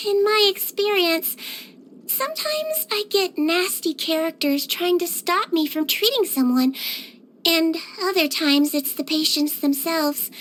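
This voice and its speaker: A sweet little nurse girl from a video game, extremely high and childlike in pitch. Her delivery is lilting and lively, cutesy and gentle, full of care for her patients. There is faint noise behind the voice. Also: full character voice. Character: sweet little nonhuman nurse girl from underwater, caring and cheerful. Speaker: game character voice lines. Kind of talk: animated video game voice acting.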